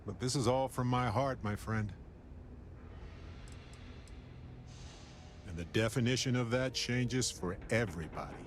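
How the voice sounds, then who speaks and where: A man playing a warm, sincere middle-aged space explorer in a video game, speaking in a measured, wistful way. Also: mid-range voice, slight background noise, natural voice. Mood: earnest. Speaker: video game character actor. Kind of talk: dramatic video game dialogue.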